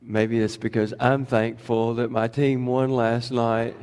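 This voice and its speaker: A middle-aged man, a pastor, preaching a chatty sermon in a church, his voice a bit hoarse from shouting, full of fillers and restarts. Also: medium pitch, flat delivery, unhurried. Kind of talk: conversational sermon. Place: church sanctuary. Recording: long reverb of a church hall.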